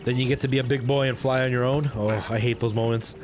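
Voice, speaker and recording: A man's voice: medium pitch, steady tone, fast talker, music in the background. A man chatting casually and enthusiastically with viewers as he hosts a video game livestream. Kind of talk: casual livestream chat while playing a game.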